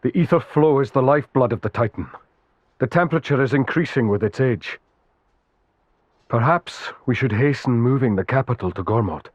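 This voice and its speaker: A man's voice: mid-range voice, moderate pace, no echo, dull audio, close to an everyday voice. A man playing a dutiful royal adviser in a video game, formal and deferential. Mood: formal report. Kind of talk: dubbed video game cutscene acting.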